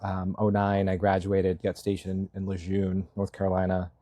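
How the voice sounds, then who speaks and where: Man, thirties, Massachusetts-raised, low voice, rambling, self-deprecating, chuckles, dry close sound. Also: monotone, normal speed, dark tone, no echo. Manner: rambling, self-deprecating, laughs often, many fillers. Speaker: selectboard candidate, guest. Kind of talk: casual interview talk.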